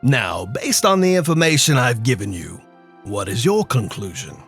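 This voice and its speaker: A man playing a measured, dryly formal and menacing crime boss in an old-time detective radio drama. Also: medium pitch, sing-song, fairly bright tone, breathy edge, faint music behind, heavily acted. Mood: cool, businesslike.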